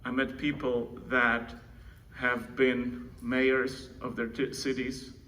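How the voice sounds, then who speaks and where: Bright-voiced Czech man addressing a conference. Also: mid-range voice, little pitch movement, takes it slowly. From Czech Republic. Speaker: conference speaker introducing co-founder. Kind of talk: informal introductory speech. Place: indoor conference room. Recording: distant mic, faint noise, room ambience.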